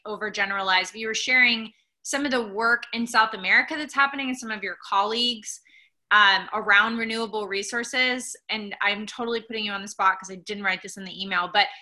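A woman hosting an interview, introducing her guest with affectionate, rambling chatter full of fillers. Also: a little high. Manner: warmly gushing, rambling, many fillers. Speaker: host. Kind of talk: casual interview chat.

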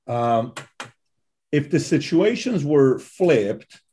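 Brief: male interviewer from Iran, halting and thoughtful, mellow mid-range voice. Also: mid-range voice, lively intonation. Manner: halting, thoughtful questions with fillers. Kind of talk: conversational interview.

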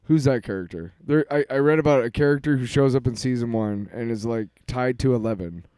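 A man's voice: mid-range voice, a little fast, a little mellow, clean tone, no room sound. A man co-hosting a casual podcast, trading profane, wisecracking banter. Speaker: podcast co-host. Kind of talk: casual chat.

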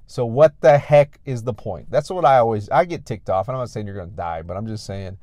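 A man's voice: lowish voice, fairly quick, warm tone, no room sound, clean studio sound. A man with an American accent speaking as a fitness coach, giving an emphatic, repetitive motivational talk straight to his online audience.

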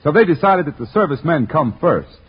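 An actor playing a friendly, earnest druggist in a husky voice, explaining things patiently in 1940s radio sales style. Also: male voice, on the high side, sing-song, a little fast, heavily acted. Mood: earnest, reassuring. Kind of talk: 1940s radio comedy and advertising. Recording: dull 1940s radio broadcast.

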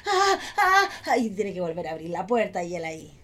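A woman with a Chilean accent co-hosting a casual chat, excitable and often repeating herself. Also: lowish voice, a little fast. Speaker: co-host.

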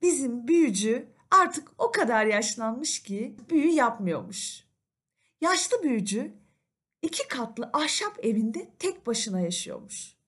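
A woman storyteller narrating a children's fairy tale in an animated, expressive manner. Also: sits high.

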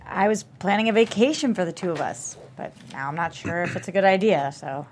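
A woman's voice: on the low side, animated, a little fast, a little hiss or hum, close to an everyday voice. A woman playing a caring, concerned wife in a table read of a thriller script.

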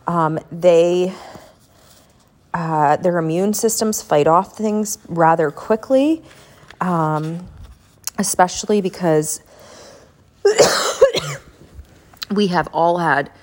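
A woman's voice: lowish voice, drawn-out words, long pauses, a little mellow. A young woman hosting a casual chat and prayer podcast, congested from a head cold, talking in a rambling, chatty way with lots of fillers. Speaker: podcast host.

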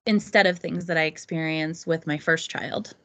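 A woman's voice: sits low, fairly even intonation, moderate pace. Woman in her thirties telling her story. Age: 30s. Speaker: podcast guest. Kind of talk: casual conversation.

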